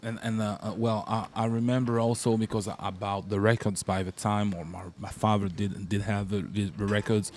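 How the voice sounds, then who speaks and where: A male interviewer with a lowish voice works through long, winding questions, doubling back and stuttering over words. Faint background ambience sits behind him. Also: fairly even intonation, moderate pace, hardly any pauses, darkish tone, slight background noise. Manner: stammering, repeats words while forming questions. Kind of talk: casual interview.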